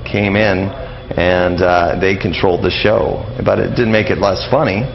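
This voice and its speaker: A man's voice: deep voice, steady tone, a little slow, creaky. A man speaking as a guest in a casual radio interview, explaining things in an informal, rambling way. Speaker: guest interviewee, old-time radio enthusiast. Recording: dull lo-fi radio audio, crowd murmur.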